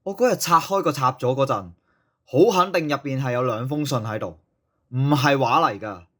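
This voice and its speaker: An actor playing a strict, self-righteous secondary school teacher in an audio drama, with a Hong Kong Cantonese accent. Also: male voice, mid-range voice, moderate pace, fairly bright tone, close to an everyday voice. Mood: stern, justifying.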